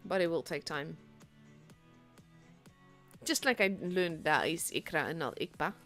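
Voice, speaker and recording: A woman's voice: sits low, measured pace, soft background music. Chatty Dutch woman casting a game. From Netherlands. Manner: chatty, self-deprecating, excitable at big plays. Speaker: esports caster. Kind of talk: solo game commentary.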